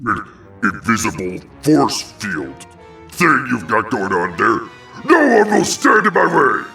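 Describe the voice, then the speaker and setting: A gruff, gravelly cartoon villain dad, swinging wildly in pitch as he blusters and bosses. He is a boastful, sarcastic turtle monster, with music playing behind him. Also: pushed and bright, distant mic, exaggerated voice. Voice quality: gravelly, growling. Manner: blustering, theatrical. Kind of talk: cartoon voice acting for fan animated series.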